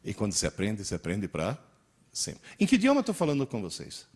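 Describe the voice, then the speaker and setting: An Italian-born man in his sixties, Italian his first language, lectures teachers in a slightly husky voice. His pitch swings widely as he tells stories and fires questions at the audience. He speaks at the pace of a seasoned teacher. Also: a little fast. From Italy. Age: 60s. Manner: animated, storytelling, quizzes the audience.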